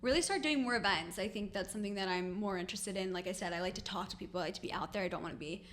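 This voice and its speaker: A woman's voice: medium pitch, very fast, a bit bright. A young woman chatting casually and informally as an interview guest, with an upbeat manner. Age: young adult. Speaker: podcast guest, realtor.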